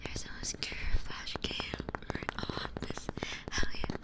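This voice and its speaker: A woman whispering breathily in a playful, rambling way, chatting casually as an ASMR streamer close to a binaural microphone. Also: a little slow. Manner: playful, rambling, repeats phrases. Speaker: ASMR livestreamer chatting with viewers.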